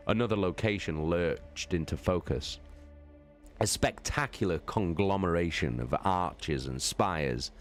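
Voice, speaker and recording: Very deep-voiced, slow male story narrator. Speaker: narrator, streamer. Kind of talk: dramatic scripted narration of a science fiction novel.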